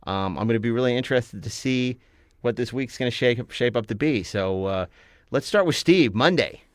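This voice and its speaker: A man's voice: mid-range voice, lively intonation, moderate pace, dry recording, clean studio sound. A middle-aged man hosting a casual film chat with wry, self-deprecating humour. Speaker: podcast host.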